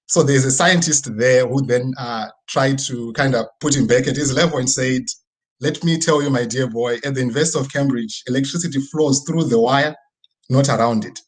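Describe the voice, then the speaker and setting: A man's voice: mid-range voice, breathy edge, rough recording. A man with a Zimbabwean accent giving a personal, motivational online presentation in a conversational way, often checking in with short tag questions. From rural Midlands region of Zimbabwe. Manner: conversational, frequent tag questions seeking agreement. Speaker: guest speaker. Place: online video presentation with screen sharing.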